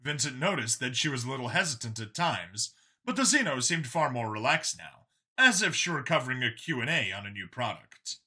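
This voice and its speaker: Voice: mid-range voice, very animated, a little fast, pushed and bright, breathy edge. A narrator reading a science fiction story aloud in a dramatic audiobook style.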